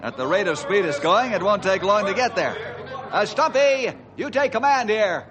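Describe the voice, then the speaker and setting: A man's voice: sits high, animated, normal speed, crowd noise. A man playing a commanding, decisive American chief forest ranger in a radio adventure drama, giving authoritative orders. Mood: urgent.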